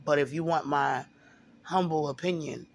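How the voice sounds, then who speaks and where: A man's voice: a little high, vocal fry, slight background noise. Hesitant man with a sore throat. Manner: halting, repeats words, many fillers. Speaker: video blogger. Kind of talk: casual monologue to camera.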